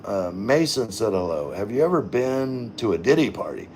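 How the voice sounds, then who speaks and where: A man's voice: on the low side, very animated, normal speed, a little mellow, a little hiss or hum. A middle-aged man hosting a casual livestream, reading out viewer comments and answering them in a rambling, informal way. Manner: rambling, informal, occasionally swearing. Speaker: livestream host.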